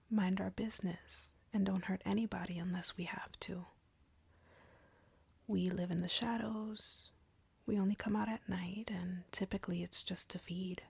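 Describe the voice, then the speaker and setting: A woman playing an arrogant, cruel, flirty female vampire captor in an audio roleplay, taunting in an intimate, breathy, husky whisper. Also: fairly low pitch, darkish tone, no echo, heavily acted. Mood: defensive, cool. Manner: taunting, slow, deliberate, drawling.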